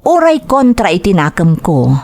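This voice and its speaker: A woman's voice: medium pitch, sing-song, darkish tone. A woman acting an anguished young waitress daughter in an Ilocano drama, with an Ilocano accent and dramatic delivery. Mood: somber, confessional. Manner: slow, dramatic delivery with tearful outbursts. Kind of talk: Ilocano radio-style drama acting and narration.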